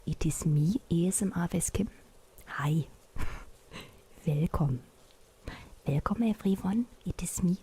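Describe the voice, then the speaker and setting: Woman putting on a low, exaggerated German ASMR host. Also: takes it slowly, long pauses, warm tone, husky, heavily acted. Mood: pleased, welcoming. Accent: exaggerated put-on German. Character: over-the-top German ASMR host, pleased and savouring. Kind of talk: playful ASMR parody.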